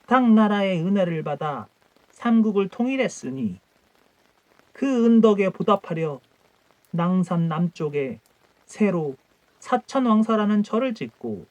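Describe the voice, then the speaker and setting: A man's voice: high voice, darkish tone, no echo, muffled recording, voice pushed up. A man voicing a humble, deferential court subject of old Korea in a storybook tale, speaking in formal archaic honorifics.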